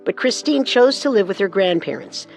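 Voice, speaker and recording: Female co-host narrator, medium pitch, scripted true crime, measured emphasis over a music bed. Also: lively intonation, vocal fry, rough recording. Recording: music under the voice.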